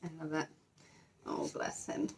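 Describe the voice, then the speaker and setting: A woman teacher sharing a children's picture book, expressive and enthusiastic, with chatty asides. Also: unhurried, background noise. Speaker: teacher reading to pupils. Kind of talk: children's storybook read-aloud with chatty asides.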